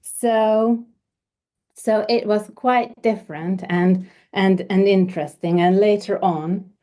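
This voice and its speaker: A woman from Hungary, a homeopath guest speaking in a relaxed interview, hesitant and often repeating words as she thinks. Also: mid-range voice, takes it slowly. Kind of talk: conversational interview.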